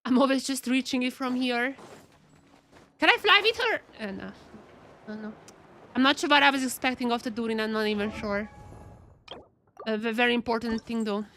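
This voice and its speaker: High-voiced, bright woman streamer. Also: moderate pace, long pauses, a little hiss or hum. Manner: chatty, excitable, repeats phrases. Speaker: video game streamer. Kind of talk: casual live gameplay commentary with reactions. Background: game music.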